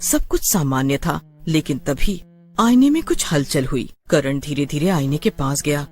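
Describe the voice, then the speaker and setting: A man's voice: fairly high pitch, expressive, a little slow. A man narrating a scripted horror story in a dramatic storytelling style.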